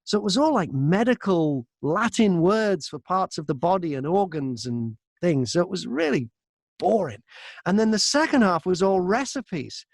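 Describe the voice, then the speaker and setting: Male interview guest, high-pitched, chatty storyteller, very dry close sound. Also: very animated, moderate pace, creaky, no echo. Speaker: audiobook narrator and voice-over artist, interview guest. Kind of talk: casual interview anecdotes. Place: inside a wardrobe used as a home booth.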